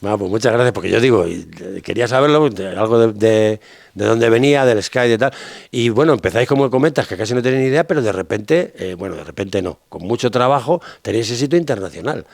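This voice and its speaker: Gravelly-voiced male interviewer, dry sound. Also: on the low side, expressive, fast talker, no echo. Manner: friendly, with short affirming interjections. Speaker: interview host. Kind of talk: casual interview questioning.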